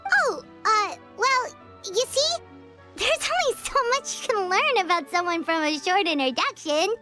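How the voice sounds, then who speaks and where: A woman voicing a tiny, excitable female fairy companion in a video game, chirpy and easily flustered. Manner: squeaky, fast and flustered, stammering. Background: game music.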